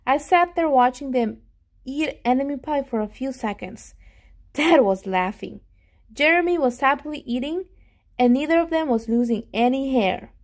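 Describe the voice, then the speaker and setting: A woman reading a storybook aloud to children in an expressive, animated way, pausing to put questions to them. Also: sits high. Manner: animated, with dramatic gasps and emphasis.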